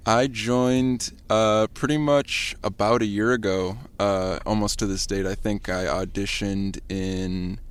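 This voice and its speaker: A man, a band's bassist, answering interview questions in a parked car in a measured, earnest way. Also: steady tone, clear, resonant tone. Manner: measured, earnest, a few fillers. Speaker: band bassist, guest. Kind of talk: casual interview chat.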